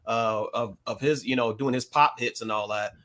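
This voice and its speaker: Mid-pitched American man explaining his take. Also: fairly even intonation, normal speed, bright, forceful tone. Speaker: podcast panelist. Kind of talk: casual hip-hop commentary.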